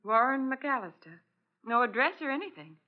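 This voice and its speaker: An actress playing a lively, curious, eager detective's assistant in 1940s radio style, inquisitive and expressive. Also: female voice, a little high, normal speed. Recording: muffled old radio recording.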